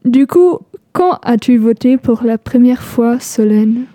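Slow young woman. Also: on the high side, animated, mellow, no echo. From Germany. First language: German. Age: young adult. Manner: slow, searching for words. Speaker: radio co-host, volunteer. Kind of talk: casual radio chat.

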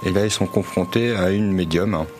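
Low-voiced man hosting a film talk. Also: brisk, background noise. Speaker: film talk show host. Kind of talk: casual film discussion.

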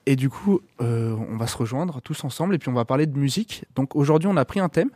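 A man's voice: mid-range voice, lively intonation, quick pace, warm tone, no echo. A man hosting a casual radio music show, stuffy with a cold, hesitant and stumbling over his words. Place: radio studio.

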